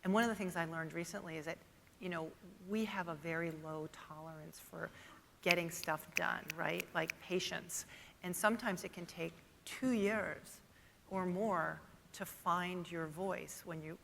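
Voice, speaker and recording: Gravelly low-voiced woman moderator. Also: fairly even intonation, normal speed, fairly bright tone, slight background noise. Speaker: panel moderator. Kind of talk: panel discussion. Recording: long reverb of a large hall with faint ambience.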